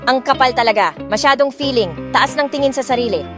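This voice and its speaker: A woman's voice: high voice, a little fast. A woman narrating a first-person romance story expressively, mixing in English phrases. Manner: expressive, switching freely between Tagalog and English phrases. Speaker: audiobook narrator. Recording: music playing underneath.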